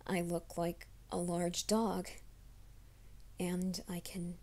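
A woman voicing a gentle, nervous young male werewolf in an ASMR roleplay, speaking softly and often in whispers. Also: fairly low pitch, flat delivery, takes it slowly. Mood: soft reassuring. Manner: soft, hushed, often whispering.

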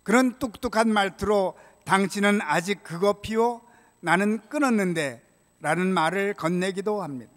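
An older man preaching a prepared sermon as a pastor, in a measured, solemn way, from a church pulpit microphone. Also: high-pitched. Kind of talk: sermon, read from prepared text. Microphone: gooseneck pulpit microphone. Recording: reverberant church hall sound.

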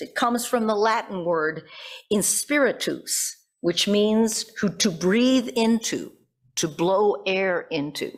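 A middle-aged American woman, a pastor, giving a reflective, conversational sermon at a church pulpit microphone. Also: mid-range voice. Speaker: pastor preaching. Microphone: gooseneck pulpit microphone.

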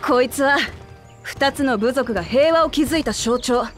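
A woman playing a brash, hearty young female adventurer in a Japanese video game, with rough, boyish, blunt speech. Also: sits high, a little slow. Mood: earnest, resolute. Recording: background music under the voice.

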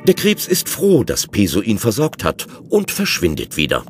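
A man's voice: on the low side, wildly swooping pitch, normal speed, soft background music. A man narrating a children's undersea adventure audio drama from a script.

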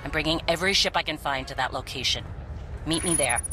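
A woman's voice: sits low, fast talker, sharp tone, a little hiss or hum. A woman playing a proud, resolute warrior leader in a video game, speaking firmly and with command. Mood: stern. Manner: firm, commanding. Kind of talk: dramatic video game acting. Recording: music behind dialogue.

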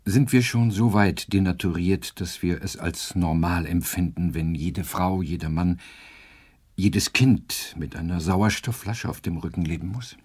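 An actor playing a grave, indignant professor giving a solemn, rhetorical commentary in a radio drama. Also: male voice, deep voice, measured pace, darkish tone, slightly rough.

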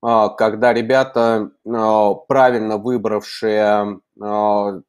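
A middle-aged man talking as an interviewer on a video call, with deliberate, choppy phrasing and hesitations. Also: fairly low pitch, flat delivery, a little mellow, clear, resonant tone. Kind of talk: conversational interview questioning. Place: indoor room, video call.

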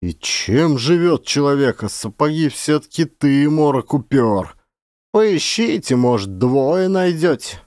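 A male reader acts a scolding village elder in an audio play. He is officious and accusing, with animated, swooping intonation in a dry recording. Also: fairly high pitch, measured pace, no echo. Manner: loud, accusing. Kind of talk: one-man audio play.

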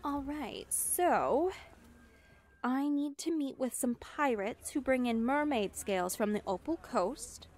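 A woman playing a high-voiced, unhurried confident young witch. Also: animated, darkish tone, full character voice. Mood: warm, playful. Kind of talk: ASMR roleplay acting.

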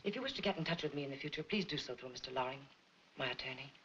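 A middle-aged actress playing a wealthy, proud, bitter wife in a 1940s film noir, with crisp, formal diction. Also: female voice, a bass voice, animated, very fast, fairly bright tone, slight background noise. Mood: cold contempt. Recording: dull 1940s film soundtrack.

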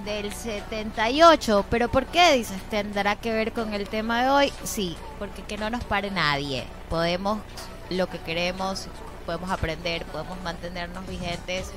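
A woman with an Ecuadorian accent chats casually as a radio co-host. Her pitch is average, and music plays clearly behind her in the studio. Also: moderate pace, low-quality recording. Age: 40s. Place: small indoor radio studio. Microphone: studio microphone.

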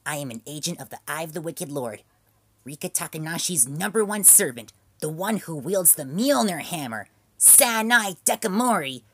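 A man's voice: on the high side, expressive, normal speed, bright, forceful tone, husky, exaggerated voice. A man voicing a brash schoolgirl who speaks in grand, haughty declarations. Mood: grandiose. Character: brash schoolgirl posing as a dark servant.

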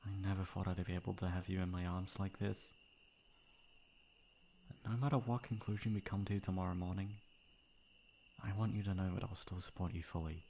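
Man acting low, gravelly smitten best friend. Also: a little hiss or hum. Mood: soft, loving. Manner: soft, halting, affectionate. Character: smitten male best friend, tender, flustered, gently teasing. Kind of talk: intimate ASMR romance roleplay.

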